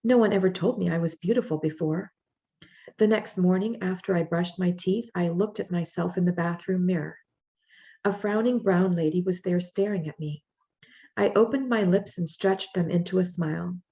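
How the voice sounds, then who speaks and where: A woman voicing a young immigrant mother in labor, reading her thoughts in the first person in a plain, gently rueful way. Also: on the low side, normal speed, lightly acted. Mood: reflective.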